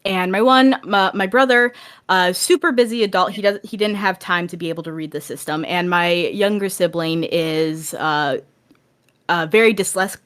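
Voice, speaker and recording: A woman guest on a podcast, chatting casually about tabletop games and thinking aloud in a halting way. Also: lowish voice, a bit bright, clear, resonant tone.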